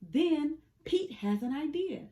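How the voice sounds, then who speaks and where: Slow, lively, high-voiced woman reading stories. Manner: animated, deliberate storytelling for young children. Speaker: children's storyteller. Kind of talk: expressive scripted read-aloud of a picture book.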